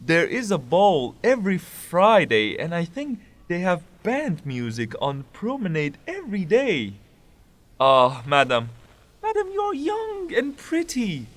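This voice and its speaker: Man playing a high-pitched fussing old servant. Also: wildly swooping pitch, measured pace, heavily acted. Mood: coaxing, grumbling. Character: old widowed male servant, fussing and plain-spoken. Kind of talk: theatrical audio drama acting.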